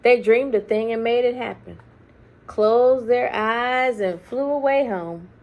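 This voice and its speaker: A woman reading a children's story aloud expressively, with deliberate dramatic phrasing and hushed, drawn-out words. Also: fairly high pitch, dull audio. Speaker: children's book reader. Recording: faint background noise.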